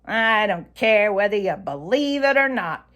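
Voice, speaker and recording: A woman's voice: medium pitch, lively intonation, unhurried, a bit bright, pitched above the performer's own voice. A woman voicing a mocking male jay in a children's storybook, a gleeful troublemaker with a taunting manner. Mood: smug, retorting. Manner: taunting, singsong provocation.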